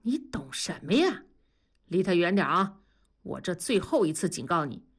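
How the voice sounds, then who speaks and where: Woman putting on a loud blunt man. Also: on the low side, very animated, moderate pace, breathy edge. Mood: warning. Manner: teasing, scolding, coarse. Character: blunt rough-talking middle-aged man, loud and needling.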